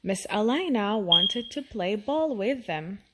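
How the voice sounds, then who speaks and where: Unhurried, very animated woman storyteller. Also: mid-range voice, very noisy. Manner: expressive, asks the listener questions. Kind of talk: children's storybook read-aloud.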